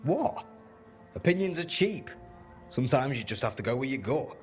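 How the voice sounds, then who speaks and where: A man's voice: mid-range voice, very animated, moderate pace, soft background music, natural voice. A man playing a blunt, streetwise resistance fighter in a video game, plain-spoken and sardonic. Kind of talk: video game character dialogue.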